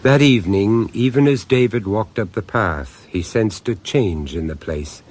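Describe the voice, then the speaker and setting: A man narrating a radio horror drama as an urbane storyteller, deliberate and theatrical, pausing to savour each phrase. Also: on the low side, darkish tone. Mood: ominous, savouring.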